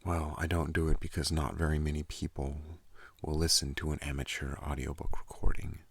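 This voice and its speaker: A young man hosting an ASMR channel, speaking softly and calmly close to a studio microphone, slipping into whispers. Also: dry recording. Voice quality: deep gravelly soft voice. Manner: slow, calm, partly whispered. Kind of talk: soft-spoken ASMR talk.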